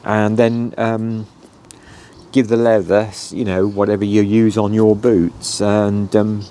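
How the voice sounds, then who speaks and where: A middle-aged British man with a lowish voice, bunged up with hay fever, talks viewers through a chore in an easy, meandering way full of "um"s and "as I say". He is outdoors, with faint ambient noise behind him. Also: level tone, slow pace, warm tone. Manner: rambling, with many "um"s and "as I say". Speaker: vlogger. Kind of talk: casual how-to talk.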